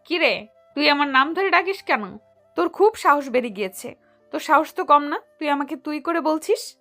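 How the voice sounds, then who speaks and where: A woman playing a stern, sharp-tongued young woman in a romantic audio story, mocking and scolding. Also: high-pitched, moderate pace, soft background music. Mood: indignant, scolding. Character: stern, scolding young woman, older than her suitor.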